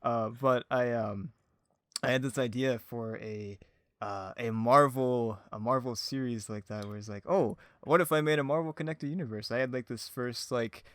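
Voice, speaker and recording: A man with a mid-pitched voice talks casually as a podcast guest. He rambles in a giggly, self-deprecating way, leaning on "like" as he goes. The recording is very dry. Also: moderate pace, no echo. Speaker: podcast guest, hobby audio drama creator. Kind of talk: casual chat, telling anecdotes.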